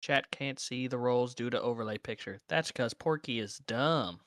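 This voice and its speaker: A man's voice: mid-range voice, moderate pace, a bit bright, no echo, studio quality. A man playing a tabletop game, chatting casually in a blunt, joking way.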